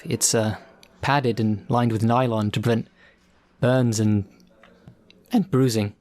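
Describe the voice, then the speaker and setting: A man's voice: medium pitch, close to an everyday voice. A man playing a chatty, self-deprecating supervillain for hire in an audio roleplay, rambling politely and hesitantly. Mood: apologetic, polite. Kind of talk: audio roleplay, conversational in-character monologue.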